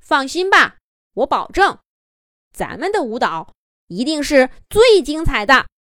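Woman doing a bright, kind boy bunny. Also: sits high, sing-song, a little slow, no echo, clean studio sound, voice pushed up, heavily acted. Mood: coaxing. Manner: coaxing, encouraging. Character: kind, upbeat young boy rabbit. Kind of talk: children's storybook voice.

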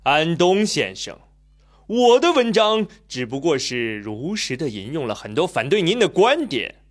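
A man playing a polite but defiant young investigative journalist in a radio drama, measured and argumentative. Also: a little high, very animated. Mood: polite, challenging. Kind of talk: Chinese radio drama acting. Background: music.

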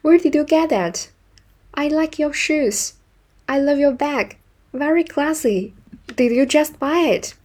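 Mellow, higher-pitched woman teaching. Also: slow. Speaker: English teacher. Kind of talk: scripted language lesson narration with example phrases.

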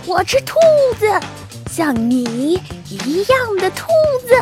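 A man voicing a sly, menacing weasel in a children's audio drama, with a harsh, hissing, whispery voice and slow, drawn-out delivery. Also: very high voice, big pitch swings, over music, full character voice. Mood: hungry gloating. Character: sly menacing male weasel predator, hungry for rabbits.

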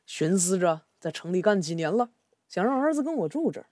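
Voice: medium pitch, sing-song, brisk, a little mellow, dry recording, close to an everyday voice. Someone acting out a worried, grumbling working father. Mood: weary, frustrated. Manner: sighing, complaining, colloquial. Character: worried migrant father seeking a loan in the city. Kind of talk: amateur radio drama acting.

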